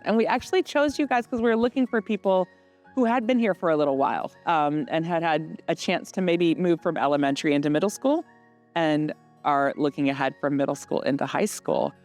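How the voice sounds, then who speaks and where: A woman's voice: low voice, a little fast, soft background music. Woman hosting a reflective school interview. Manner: reflective, asks open questions with gentle follow-ups. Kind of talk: conversational school podcast interview.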